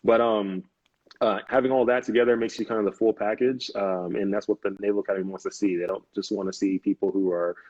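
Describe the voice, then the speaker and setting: A man's voice: on the low side, little pitch movement, fast, darkish tone, no room sound. Laid-back man on a muffled call. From United States. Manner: easygoing, laughs often, many "um"s and restarts. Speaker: interview guest, Navy officer. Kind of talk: casual live interview. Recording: dull, lo-fi video call audio.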